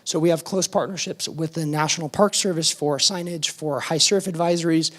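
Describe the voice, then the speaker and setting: A man serving as a fire department battalion chief, giving an informal presentation at a public forum with a hoarse, worn voice. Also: fairly high pitch, fairly even intonation, a little fast, fairly bright tone. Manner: informal, with frequent ums and uhs. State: hoarse, losing his voice.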